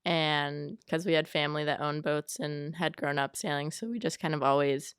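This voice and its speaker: A woman's voice: low-pitched, normal speed, smooth, dry recording, studio quality. A young woman from Florida, a college sailing captain, answering interview questions fluently with frequent "um"s. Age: young adult.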